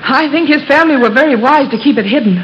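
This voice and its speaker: An actress playing a gentle, easily frightened young daughter in a 1930s radio drama. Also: female voice, sits high, fast, natural voice. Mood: uneasy. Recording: dull, noisy old radio recording.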